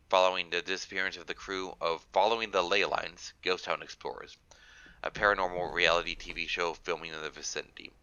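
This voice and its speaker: A man's voice: sits low, bright, forceful tone, clean tone, no echo, poor audio. A man with a congested, nasal voice from a cold, reading aloud and casually explaining as a guest author.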